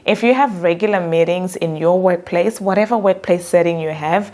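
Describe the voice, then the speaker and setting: A woman career coach who gives direct, earnest advice about workplace communication and calls her own voice monotone. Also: fairly low pitch, normal speed. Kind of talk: motivational talk to camera.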